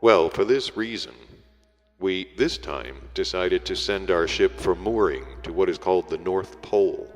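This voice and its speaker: A man reading a literary story aloud as an audiobook narrator, in a measured, formal storytelling delivery. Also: low-pitched. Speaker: audiobook reader. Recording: echoey hall reverb, music behind.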